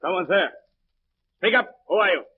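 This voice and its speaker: An actor playing a gruff military patrol leader in a 1940s radio drama, with a commanding, barking manner. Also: male voice, high voice, lively intonation, moderate pace, slight background noise. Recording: tinny, band-limited old radio.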